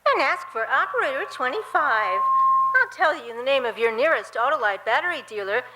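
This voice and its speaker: A woman's voice: high-pitched, lively intonation, fairly quick, heavy background noise. A woman playing a chirpy radio-ad operator. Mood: cheery. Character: cheerful female telephone operator. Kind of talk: 1950s radio ad acting.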